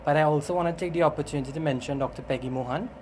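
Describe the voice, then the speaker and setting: Male, middle-aged, Trinidadian accent, fairly high voice; hesitant, explanatory interview guest over faint background noise. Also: rapid-fire, a little mellow. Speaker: interview guest, language teacher and doctor.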